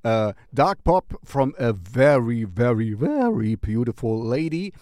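A man's voice: medium pitch, very animated, a little mellow, no echo, studio quality. A man hosting a music recommendation show in a casual, rambling, playful and distracted way. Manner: playful, distracted, halting delivery.

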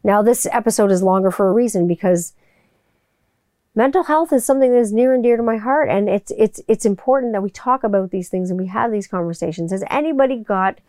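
Woman, chatty and rambling, casual swearing, smooth resonant tone, dry close recording. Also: mid-range voice, a little fast, mellow, no echo. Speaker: voiceover artist and musician. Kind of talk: casual monologue to viewers. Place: home room with people upstairs.

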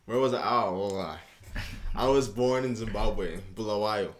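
A man's voice: on the low side, normal speed, slight background noise. A young man from Canada, chatting loosely as a podcast guest and often checking in with "you know what I mean". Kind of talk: casual interview chat.

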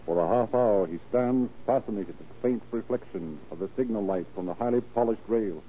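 A man narrating and announcing in a formal, theatrical, emphatic 1930s radio style. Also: medium pitch. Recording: tinny, hissy 1930s radio recording.

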